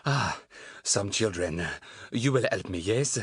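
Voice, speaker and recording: A man voicing an anxious, earnest foreign traveller with a French accent and halting, stilted phrasing, in a storybook. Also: lowish voice, animated, breathy edge, dry recording, studio quality, full character voice. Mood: hopeful.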